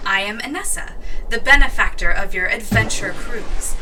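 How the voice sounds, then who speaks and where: A woman's voice: mid-range voice, normal speed, lightly acted. Bossy scheming princess played by a woman, formal speech, far from mic, noisy background. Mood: formal, assured. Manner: commanding, formal, polished. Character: scheming imperious princess, ship's benefactor. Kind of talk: audio drama acting, formal welcome speech.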